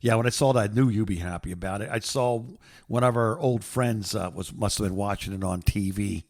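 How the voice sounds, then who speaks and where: A middle-aged American man co-hosting a podcast in casual chat, halting and rambling, often repeating words and trailing off mid-thought. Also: lowish voice, a little mellow. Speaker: podcast co-host. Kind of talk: casual phone-call chat.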